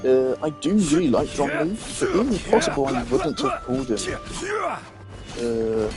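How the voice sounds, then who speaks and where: A man's voice: medium pitch, expressive, normal speed, over loud music. A man giving casual, rambling gaming commentary, thinking aloud as a streamer. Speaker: game streamer.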